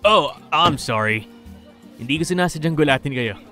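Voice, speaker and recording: A wealthy young man, a rancher's son in a romantic radio drama. His voice is bright, his manner tender and courteous. Soft music plays behind him. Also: on the high side, expressive, moderate pace. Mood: apologetic. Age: young adult. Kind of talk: audio drama acting.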